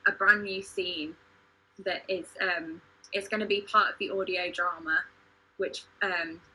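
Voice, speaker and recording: A woman hosting a livestream discussion in an earnest, measured way. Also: lowish voice, bright, forceful tone, slightly rough, rough recording. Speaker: podcast host, theatre company member.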